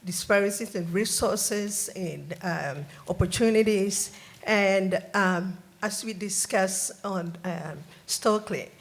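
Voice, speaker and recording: A woman from Ghana asks an earnest, halting question from the audience microphone in a lecture hall. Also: medium pitch, creaky. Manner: earnest, halting, with many ums. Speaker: audience member asking a question. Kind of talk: audience question at a public forum. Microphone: audience floor microphone.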